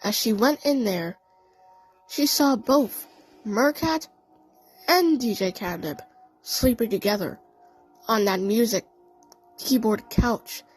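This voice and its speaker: A woman narrating a scripted first-person story, with halting phrasing and odd pauses. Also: a little high, breathy edge, soft background music, poor audio. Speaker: narrator of a fan audio play.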